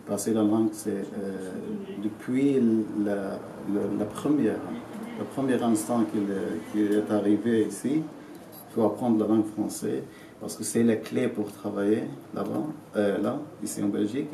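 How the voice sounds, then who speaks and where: A man in his twenties from Syria, speaking haltingly in French as an interviewee, full of fillers and restarts. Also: on the low side, steady tone, creaky. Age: 20s. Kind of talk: hesitant testimony in a learned language. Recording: roomy and noisy, from a distance.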